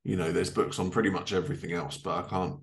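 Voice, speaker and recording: Low-voiced man hosting a casual interview. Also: steady tone, quick pace, creaky. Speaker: podcast host. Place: living room, indoors, on a video call.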